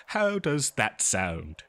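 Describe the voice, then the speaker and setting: A man playing a courteous, eager and nervous scholar in a fantasy audio roleplay, speaking politely and haltingly to the listener. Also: mid-range voice, fairly bright tone, exaggerated voice. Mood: friendly, eager. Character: eager, nervous, courteous male human professor of ancient civilizations.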